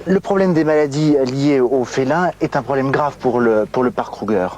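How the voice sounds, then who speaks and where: A man with a French accent from France presents a nature documentary outdoors, explaining things in a measured way with hesitant pauses. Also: medium pitch, darkish tone, a little hiss or hum. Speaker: television presenter. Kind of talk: live-style documentary presenting, on location. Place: outdoors in African savanna.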